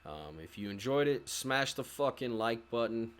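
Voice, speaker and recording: A man hosting a gaming channel, giving casual, halting game commentary to his viewers with some loose swearing. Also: medium pitch, animated, clean tone. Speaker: host of a gaming walkthrough channel.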